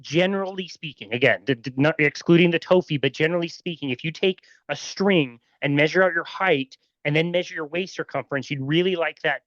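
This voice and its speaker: A man's voice: on the high side, a little fast, few pauses, fairly bright tone, dry recording. A man hosting a podcast who thinks aloud and sums up what his guest said before asking a question. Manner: thinks aloud, repeats words, summarizes back to the guest. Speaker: podcast host. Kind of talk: casual interview chat. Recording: dry, slightly dull sound.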